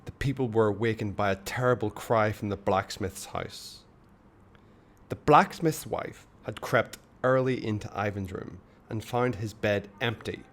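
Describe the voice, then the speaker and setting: Storyteller, male, fairly low pitch. Expressive book narration, measured and dramatic, with pauses. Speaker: storyteller reading a children's book aloud.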